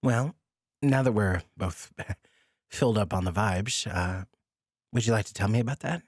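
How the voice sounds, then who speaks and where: An actor playing a kind, earnest social worker in an audio drama, speaking gently and hesitantly with reassurance. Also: male voice, lowish voice, a little slow, breathy edge, no room sound, studio quality. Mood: gently consoling. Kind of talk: naturalistic audio drama dialogue.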